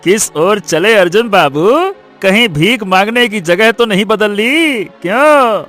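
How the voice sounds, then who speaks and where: A man voicing a haughty, mocking rich village bully in a cartoon story, with a drawn-out drawl. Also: sits high, expressive, fairly bright tone, faint music behind, exaggerated voice. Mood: sarcastic, mocking. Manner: taunting, drawn-out sarcastic drawl with rustic turns. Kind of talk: animated story voice acting.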